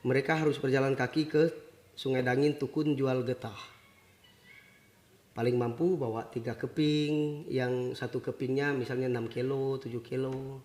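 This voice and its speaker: A man's voice: mid-range voice, fairly even intonation, normal speed, a little mellow. A man with a West Kalimantan Indonesian accent and a local first language, telling childhood stories casually as an interview guest. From rural West Kalimantan, Indonesia. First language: a local language of rural West Kalimantan. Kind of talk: casual storytelling about childhood. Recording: noticeable background noise.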